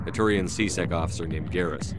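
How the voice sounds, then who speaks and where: An actor playing a terse, no-nonsense military officer hero in a science fiction video game. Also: male voice, low voice, a little fast, close to an everyday voice. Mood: probing. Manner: terse, direct, businesslike. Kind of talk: video game character dialogue. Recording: very loud throbbing music behind voice.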